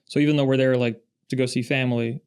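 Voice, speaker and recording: A man in his thirties, born in Russia and raised in the Pacific Northwest, talks as a podcast guest. His voice is smooth and resonant, with a fairly even pitch, and he tells his stories in a steady, measured way. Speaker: podcast guest, industry founder. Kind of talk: casual interview chat.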